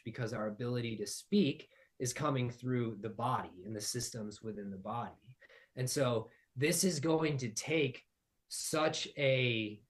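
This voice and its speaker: Male podcast guest, mid pitch, long rambling abstract musings. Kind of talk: casual spiritual conversation.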